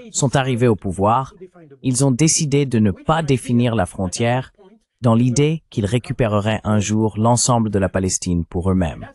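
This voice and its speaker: Voix d'homme grave, posée et explicative. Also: male voice, lowish voice, darkish tone. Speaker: translation voice-over. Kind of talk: measured explanatory voice-over of an interview.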